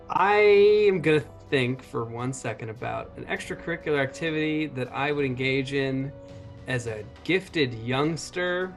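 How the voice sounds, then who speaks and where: A man's voice: mid-range voice, a bit bright. A man rambling and joking casually as a player on a roleplaying stream. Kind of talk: casual chat, self-introduction. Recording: faint guitar music behind. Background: guitar music.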